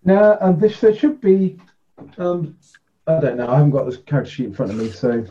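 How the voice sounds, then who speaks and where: Mellow, soft-toned man running a tabletop game. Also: fairly high pitch, big pitch swings, a little fast, lo-fi sound. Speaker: game master running a tabletop role-playing game. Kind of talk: casual game-running talk with rules explanations.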